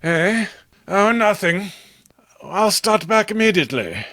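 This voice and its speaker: A man's voice: high voice, very animated, slow, bright, forceful tone, no echo, clean studio sound, pitched above the performer's own voice, heavily acted. A man voicing an obsessed, proud recluse in a horror comic read-along, intense and melodramatic. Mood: distracted.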